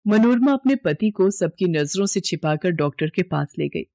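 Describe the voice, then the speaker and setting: A woman's voice: on the low side, lively intonation, moderate pace, creaky, mellow, no room sound. Woman narrating a story, dry close sound. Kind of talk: scripted dramatic story narration.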